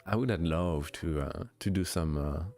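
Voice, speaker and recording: A young man with a light French accent, a streamer chatting casually with viewers, relaxed and hesitant with many fillers and restarts. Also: deep voice, normal speed, faint music behind. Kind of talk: casual chat answering viewers.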